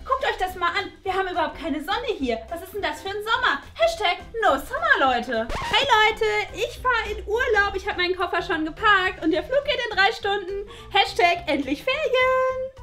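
A woman playing a bubbly, over-excited influencer girl, gushing in a sing-song way with drawn-out words. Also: very high voice. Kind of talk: parody of vlogger talk in comedy sketch. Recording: music behind the voice.

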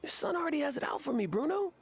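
A man playing an easygoing, expressive young island guy in a video game, with a playful drawl. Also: extremely high pitch, very fast, gravelly, full character voice. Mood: uneasy, wry. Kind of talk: video game character acting.

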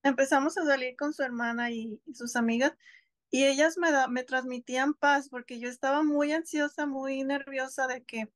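Mexican mom on a video call at home, kinda high voice, hesitant, keeps restarting, dry sound. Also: female voice, no room sound. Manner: hesitant, restarts words, thoughtful. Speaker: guest, homeschooling mother. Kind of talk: casual interview conversation. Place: home, seated on a couch.

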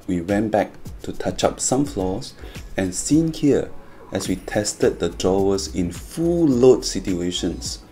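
A man's voice: low voice, mellow. A man reading a scripted promotional narration as the presenter of a company video. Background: background music.

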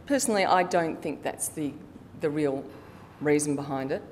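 A middle-aged woman giving a prepared formal conference presentation in a measured way, occasionally stumbling as she reads from her notes. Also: a little mellow. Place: conference hall stage, indoors. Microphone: lectern microphone. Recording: reverberant hall, audible low background rumble.

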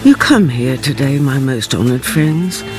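An actress playing the Count's devoted companion, narrating a radio drama in a grave, formal, theatrical way. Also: female voice, a bass voice, lively intonation, unhurried. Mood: solemn. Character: older woman narrator, the Count's devoted companion, solemn and impassioned. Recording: music behind the voice. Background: dramatic music.

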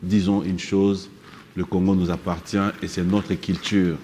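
A middle-aged man hosting a music talk show in a TV studio, lively and mixing French with Lingala, on a lapel mic. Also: low-pitched, a little slow, long reverb tail, slight background noise. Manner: animated, switching French and Lingala. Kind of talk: casual studio interview. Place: TV studio set.

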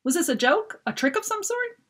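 A woman's voice: extremely high pitch, sing-song, brisk, fairly bright tone, pitched above the performer's own voice, exaggerated voice. A woman voicing a proud, frustrated young apprentice mage in a storybook, speaking with indignant urgency.

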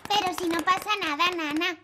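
Very high-pitched little fairy princess. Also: female voice, steady tone, fairly quick, background noise. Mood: chirpy, helpful. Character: eager, sweet little fairy princess girl. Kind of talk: animated children's cartoon dub.

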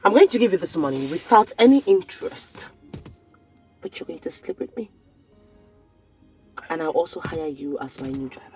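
An actress with a Nigerian accent playing a domineering, contemptuous rich madam in a screen drama, haughty and cutting. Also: female voice, lowish voice, very animated, moderate pace, long pauses, a little mellow, soft background music, rough recording. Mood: brazen, commanding.

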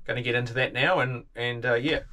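A man gives a relaxed, plain-spoken farm walkthrough, full of ums and uhs, outdoors and in a tractor cab on an action camera. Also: mid-range voice, fairly quick. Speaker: farmer. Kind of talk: casual farm vlog walkthrough. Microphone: action camera on the body or close at hand. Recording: loud wind and engine noise.

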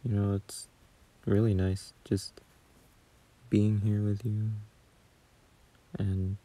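A man speaking softly and hesitantly into a close phone mic as a gentle, caring boyfriend in a soothing ASMR roleplay. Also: on the low side, level tone, slow. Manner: soft, hesitant, soothing, at times near whisper.

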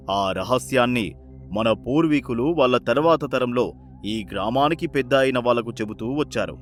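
An ageing village chief with a sly streak, voiced by a man for a folk tale. He is commanding and smooth-talking in a medium-pitched voice, with faint music behind. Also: fairly bright tone, natural voice. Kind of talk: animated moral story character acting.

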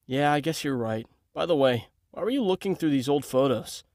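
Man acting anxious young hero, high-pitched voice. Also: expressive, brisk, a little mellow, no echo, studio quality. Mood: hesitant, subdued. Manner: hesitant, stammering. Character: anxious, earnest young superhero bridegroom. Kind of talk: amateur comic dub acting.

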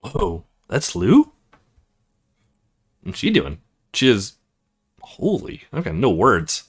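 A man's voice: fairly low pitch, wildly swooping pitch, slow, slightly rough, pitched below the performer's own voice, exaggerated voice. A man voicing an awkward young male recruit in a visual novel, hesitant and grumbling to himself.

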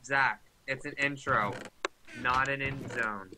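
A man's voice: mid-range voice, steady tone, takes it slowly. Teen boy co-player, teasing banter, heavy background hiss. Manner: teasing, mock-serious banter. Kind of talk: casual multiplayer gaming chat. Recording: loud background noise.

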